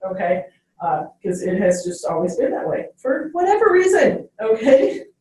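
A woman's voice: fairly high pitch, a little mellow. A woman teacher giving a classroom lecture, checking in with "okay?" after each point. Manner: ends phrases with "okay?". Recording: very echoey classroom, distant mic.

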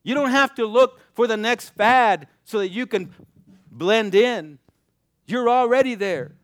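A young male preacher with a smooth, resonant voice, recorded close and dry. He climbs to soaring, almost shouted peaks of exhortation, then drops to a low, hushed, intimate tone to drive his point home. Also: a little slow, no room sound. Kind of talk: sermon.

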